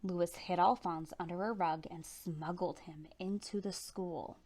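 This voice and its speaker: A woman reading a children's picture book aloud as storytime narrator, with animated, dramatic emphasis. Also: on the low side, measured pace. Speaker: storytime reader.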